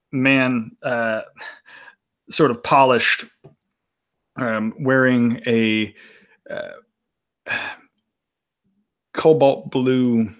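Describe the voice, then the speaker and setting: A man's voice: medium pitch, a bit bright. A man playing in a tabletop role-playing game, talking casually and hesitantly as he searches for words. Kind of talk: casual improvised character description.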